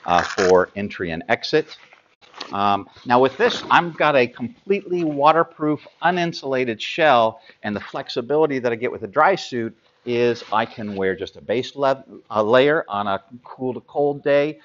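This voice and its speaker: An American man in his fifties presenting gear as an expert, explaining informally and haltingly to camera. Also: mid-range voice, animated, a bit bright, dull audio. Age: 50s.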